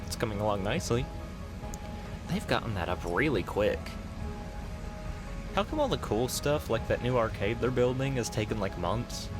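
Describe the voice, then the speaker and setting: A young man playing a playful, chatty boyfriend on a night drive in a soft-spoken, rambling, gently teasing ASMR roleplay. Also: on the low side, big pitch swings, moderate pace, pauses often. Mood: casual chatty. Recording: music under the voice.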